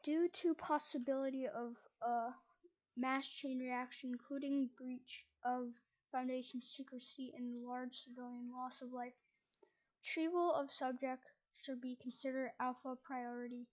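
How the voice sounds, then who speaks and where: A woman's voice: sits high, little pitch movement, unhurried, a little mellow, poor audio. A woman reading a document aloud, stumbling over words and restarting lines as she goes. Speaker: reader.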